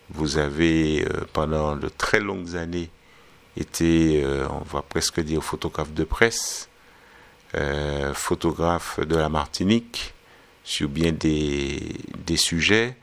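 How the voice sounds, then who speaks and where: Very deep gravelly male interviewer. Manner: slow, leading questions with fillers. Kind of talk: interview questions.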